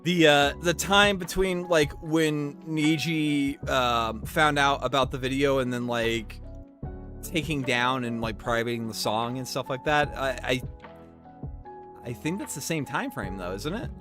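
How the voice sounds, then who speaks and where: A man's voice: medium pitch, unhurried, fairly bright tone, faint music behind. Sniffly man rambling on stream. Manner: rambling, stammering restarts. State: sniffly, stuffed nose. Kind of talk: casual stream commentary.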